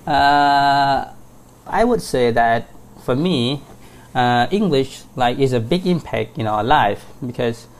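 Man hosting a podcast, gravelly. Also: medium pitch, expressive, slow. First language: Thai. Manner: hesitant, many fillers like "uh" and "like". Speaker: podcast host. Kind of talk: casual podcast chat.

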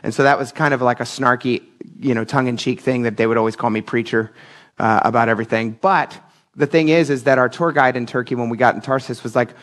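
Man, pastor, casual sermon, conversational, middle pitch, humorous asides. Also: steady tone, fast talker, fairly bright tone. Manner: casual, chatty preaching with asides and laughs.

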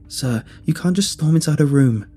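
A man's voice: fairly high pitch, very animated, moderate pace, dark tone, slightly rough, soft background music, pitched above the performer's own voice, full character voice. A man voicing a flustered female hospital nurse in a story, polite but firm.

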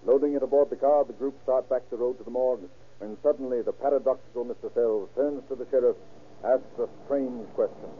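A man's voice: medium pitch, brisk, slight background noise, close to an everyday voice. A man giving formal, solemn, dramatic scripted narration in the style of an old radio crime drama. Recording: tinny old radio recording.

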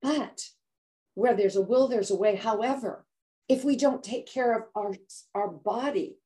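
A woman's voice: on the high side, unhurried, dark tone. An older American woman, a health coach guest, telling a personal story with dramatic flair and acted-out quotes. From United States. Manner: dramatic, acts out quotes with voice shifts. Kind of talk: emotional storytelling in interview.

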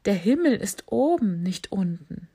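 A woman voicing a giggly, mocking young giraffe in a children's story, high and teasing. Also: big pitch swings, unhurried, warm tone, breathy edge, exaggerated voice.

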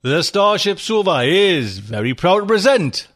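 A man hosting a podcast, rambling and enthusiastic as he introduces a story. His voice sits a bit high for a man. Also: big pitch swings, a little slow, a bit bright. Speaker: podcast host. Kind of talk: casual show introduction.

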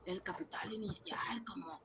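Excitable wannabe banker for a radio comedy, really high sharp voice, boastful and coaxing. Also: male voice, normal speed, vocal fry, a little hiss or hum, full character voice. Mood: boastful, excited. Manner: exclamatory, cajoling, colloquial. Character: excitable, boastful ice-cream seller turned would-be banker, male. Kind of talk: comic radio drama acting.

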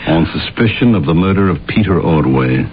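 Low-voiced male detective, dry, stern, measured, vintage radio drama, muffled hissy recording. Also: animated, over music. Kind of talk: old-time radio drama acting. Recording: dull old radio recording, faint hiss.

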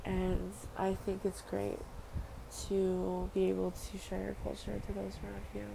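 A woman's voice: medium pitch, completely flat delivery, unhurried, gravelly. A young woman from Western Samoa speaking earnestly in a personal vlog monologue, repeating phrases and using casual fillers. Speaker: vlogger. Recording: noisy background ambience.

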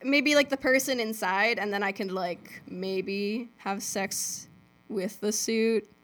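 A woman's voice: mid-range voice, slow pace, a bit bright. A woman from New Jersey talking casually as an interview guest, laid-back and matter-of-fact. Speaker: podcast guest. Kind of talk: casual chat.

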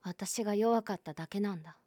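A woman playing a stubborn, lonely high school girl. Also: brisk, no room sound, clean studio sound, lightly acted. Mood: confessional. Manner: quiet, confessional, rising to anguished outbursts. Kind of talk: voice drama acting.

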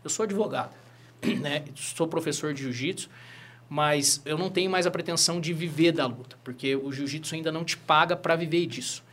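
Fast-talking Brazilian man, lawyer and fighter. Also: fairly high pitch. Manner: animated storyteller, frequent "né?" tags. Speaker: lawyer and jiu-jitsu teacher, guest. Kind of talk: casual interview chat.

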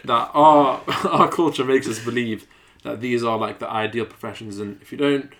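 A man's voice: medium pitch, normal speed, fairly bright tone. A man cracking puns in a casual chat among medical students. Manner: jokey, punning.

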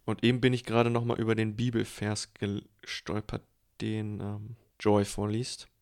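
Guy with a lowish voice just chatting about films on a podcast. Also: monotone, moderate pace, a little mellow, clean tone. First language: German. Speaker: film podcast co-host. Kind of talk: casual film discussion.

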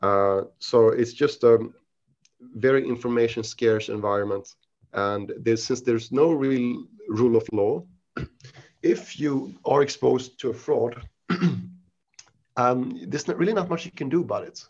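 A man with a Swedish accent and a mid-range voice answers interview questions in a halting, casual way, often restarting his sentences. The audio is dull and lo-fi. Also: mid-range voice, a little mellow. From Sweden. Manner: halting, many restarts and fillers. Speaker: podcast guest, investment researcher.

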